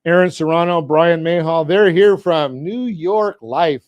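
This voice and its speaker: Male radio host, higher pitch, dry close studio sound, energetic and jokey. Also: measured pace, dry recording, lo-fi sound. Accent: Southern Californian. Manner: energetic, jokey, asks leading questions. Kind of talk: talk radio with a sponsor read. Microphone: studio mic.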